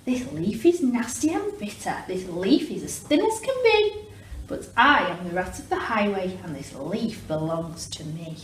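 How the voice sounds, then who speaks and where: A woman voicing a bullying, greedy male rat highwayman in a storybook, bellowing his demands in a commanding, declamatory way. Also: big pitch swings, measured pace. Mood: scornful. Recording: roomy, distant mic with clear background noise.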